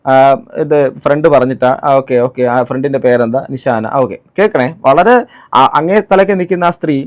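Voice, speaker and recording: A man's voice: medium pitch, brisk. A man giving spoken commentary on a clip, indignant and full of rhetorical questions. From Kerala, India. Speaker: reaction vlogger.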